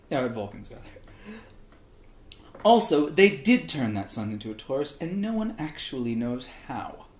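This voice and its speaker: A man reading a humorous online thread aloud in an animated way. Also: medium pitch, a little slow. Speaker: reader of online posts. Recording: echoey, roomy sound.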